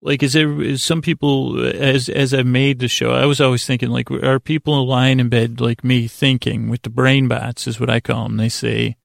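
A man hosting a bedtime podcast in a lulling, rambling monologue, halting and self-deprecating as he circles back on himself. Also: medium pitch, gravelly, no echo, studio quality. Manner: lulling, soothing delivery. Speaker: podcast host.

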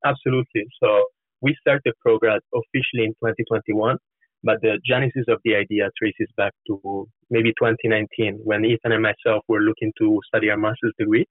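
Young Italian man, flat delivery, roomy line. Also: fairly low pitch, fairly quick. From Florence, Italy. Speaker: startup co-founder, podcast guest. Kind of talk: conversational interview answers. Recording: roomy, narrow muffled call-like sound.

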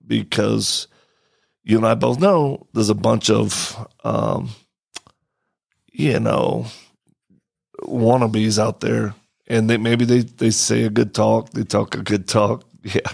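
A man's voice: on the low side, unhurried, gravelly, no echo. A man hosting a podcast in a studio, chatting casually with a guest in a joking, blunt and direct way. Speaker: podcast host. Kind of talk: casual interview chat.

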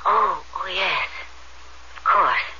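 A woman's voice: on the low side, expressive, slow pace, sharp tone, slightly rough, heavily acted. An actress playing a watchful middle-aged mother in a radio drama, speaking with careful, hesitant concern. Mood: solicitous, uneasy. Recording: noisy, muffled old radio broadcast.

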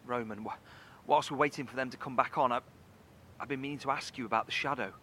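A man's voice: mid-range voice, fast, background noise, close to an everyday voice. An actor playing an inquisitive, earnest man in a horror audio drama, probing and analytical as he speaks. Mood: pensive, probing. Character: inquisitive, earnest man piecing a mystery together.

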